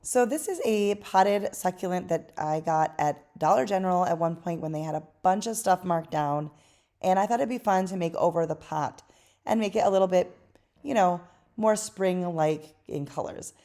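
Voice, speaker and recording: Tired hoarse lady, kinda low voice, chatty diy voice-over. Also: normal speed. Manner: chatty and relaxed, with fillers. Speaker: craft channel host. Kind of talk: voice-over craft tutorial.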